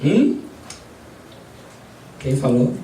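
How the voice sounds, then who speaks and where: A man's voice: mid-range voice, very animated, drawn-out words, mellow. A man leading a spiritist study group, talking casually and putting questions to the people in the room. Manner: conversational, asks the audience questions. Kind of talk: informal group lesson with questions to audience. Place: study centre meeting room. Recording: roomy, distant mic, faint background noise.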